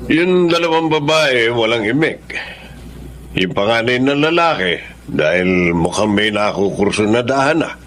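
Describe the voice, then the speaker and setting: A man's voice: medium pitch, lively intonation, measured pace, a bit bright. A man playing a middle-aged husband and father in a radio drama, plain-spoken and subdued. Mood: matter-of-fact. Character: low-key middle-aged husband and father, troubled provider. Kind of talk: Tagalog radio drama acting.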